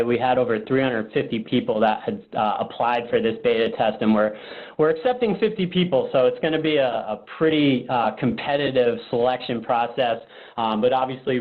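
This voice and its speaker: A narrow, telephone-like recording carries a man's mid-range voice. He presents a product webinar in an informal, casual style, often thinking aloud with a slightly hesitant delivery. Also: mid-range voice. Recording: phone-like narrow-band webinar audio.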